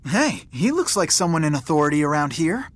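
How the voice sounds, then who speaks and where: A man's voice: fairly high pitch, sing-song, a little fast. An actor playing an earnest, thoughtful teenage boy hero in a video game, speaking casually. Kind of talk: video game cutscene acting.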